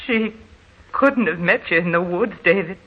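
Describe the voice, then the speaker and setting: Husky, fretting old aunt. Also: female voice, fairly low pitch, moderate pace. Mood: sorrowful. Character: tearful, fretting, kindly old farm aunt. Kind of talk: 1940s radio drama acting. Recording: thin, phone-like 1940s radio recording.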